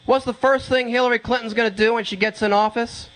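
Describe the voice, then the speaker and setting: A man doing stand-up on a club stage, chatting with the crowd and sometimes shouting. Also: very high voice, steady tone, fast, very noisy. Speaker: stand-up comedian. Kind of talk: stand-up set. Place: comedy club stage with a live audience.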